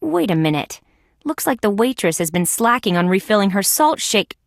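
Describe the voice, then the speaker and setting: A woman playing a young, wry, determined female insect police detective in a scripted adventure video game, with conversational, clearly spoken patter. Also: medium pitch, big pitch swings, normal speed, dry recording, studio quality. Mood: musing.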